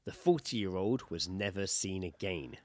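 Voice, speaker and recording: A man's voice: deep voice, expressive, unhurried, darkish tone, no room sound. A man co-hosting a podcast, chatting casually and reading out odd news stories in an informal, sweary way. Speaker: podcast co-host.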